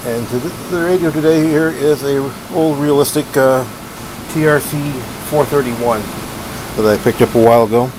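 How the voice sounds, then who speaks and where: Man rambling casually over steady background hiss. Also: medium pitch. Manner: rambling, halting, plain-spoken. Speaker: radio collector and video host. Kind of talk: casual hands-on gadget overview. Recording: steady hiss and hum behind voice.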